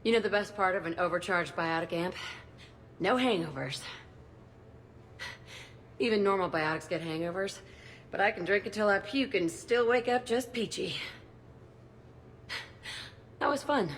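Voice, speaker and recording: A woman's voice: mid-range voice, moderate pace, pauses often, a little hiss or hum, natural voice. Woman playing a brash, cocky tough fighter. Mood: cocky, cheerful. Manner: cocky, blunt. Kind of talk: video game voice acting.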